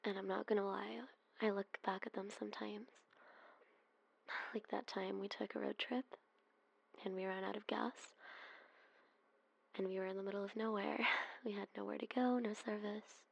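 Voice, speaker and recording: A woman whispers close and soft, her voice husky and full of gravelly fry. She keeps her tone narrow and even, sighing between phrases as in an ASMR roleplay. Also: medium pitch, moderate pace, pauses often. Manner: soft breathy whispering with sighs.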